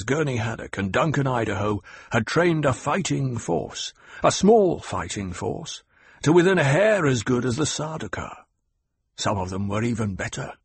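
Man doing a cold old male Mentat, sharp and scornful, raised pitch, raspy, dry audiobook sound. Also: no echo, full character voice. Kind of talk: audiobook character voice.

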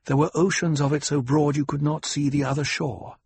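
A man voicing a poised proud noblewoman, husky and lifted. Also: a little high, flat delivery, brisk, a little mellow, pitched above the performer's own voice. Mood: composed. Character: composed noblewoman, calm and proud.

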